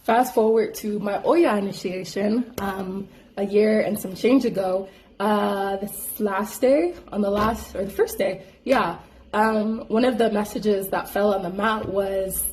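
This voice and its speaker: A woman's voice: mid-range voice, unhurried. A woman hosting her own show, casually telling a personal story in slangy speech full of "like". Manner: slangy, frequent "like" fillers, animated. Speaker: host.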